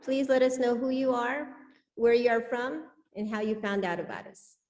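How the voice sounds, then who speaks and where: Woman, slightly high pitch, service leader, scripted church announcements, speaking to congregation. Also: fairly even intonation, normal speed, a little mellow. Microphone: church microphone.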